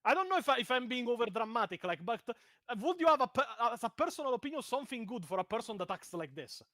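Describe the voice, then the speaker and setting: Italian-accented man, high voice, dry mic, blunt and profane on a call. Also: sing-song, quick pace, pushed and bright, no echo. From Italy. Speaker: guest commentator. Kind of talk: heated casual video-call chat. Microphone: video call.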